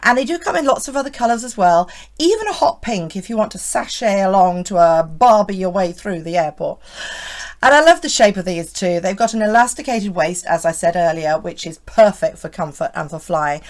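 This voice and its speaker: A hoarse woman in her fifties gives a chatty, reassuring run-through of outfits. Her pitch rises and falls a great deal as she talks, and she keeps turning to the viewer with little tag questions. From United Kingdom. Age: 50s. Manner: chatty, reassuring, often asks the viewer "isn't it". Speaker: style vlogger. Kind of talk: friendly scripted fashion vlog.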